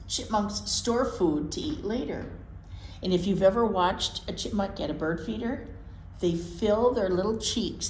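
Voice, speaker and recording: A woman's voice: mid-range voice, a little mellow. A woman presenting storytime for young children, reading aloud and adding folksy, chatty asides with words repeated for emphasis. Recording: echoey room with background noise.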